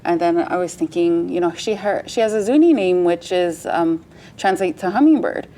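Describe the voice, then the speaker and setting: A woman's voice: fairly low pitch, moderate pace, dark tone, a little hiss or hum, low-quality recording. A woman, a guest mother, chatting casually in a TV interview and laughing as she tells her stories. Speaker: guest, mother of the powwow princess.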